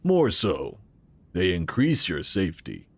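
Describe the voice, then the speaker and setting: An actor playing the calmly authoritative founder of a sci-fi organization in an audio drama, speaking instructively. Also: male voice, sits low, unhurried, breathy edge, dry recording, exaggerated voice. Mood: instructive, assured.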